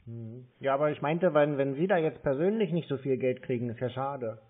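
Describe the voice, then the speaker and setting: A man taking a phone call from a scammer, asking dry, deadpan questions. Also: mid-range voice, fairly quick. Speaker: call recipient baiting a scammer. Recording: tinny phone line.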